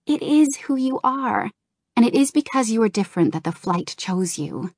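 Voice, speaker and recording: A woman reading an audiobook, voicing a gentle, loyal female dragon who speaks softly and affectionately. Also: fairly high pitch, lively intonation, normal speed, dry recording, voice pushed up. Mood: tender.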